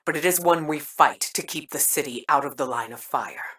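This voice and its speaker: An actress playing a stern, principled military commander in a video game, speaking formally and deliberately with a measured, weary air. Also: female voice, low voice, a bit bright, distant mic. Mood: formal, earnest. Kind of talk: dramatic video game voice acting.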